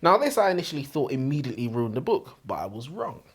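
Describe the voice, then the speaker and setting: A man's voice: medium pitch, big pitch swings, fast talker, husky. A man co-hosting a book podcast in casual chat, blunt and slangy, repeating points for emphasis. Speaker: book review podcast co-host. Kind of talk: casual opinionated book discussion.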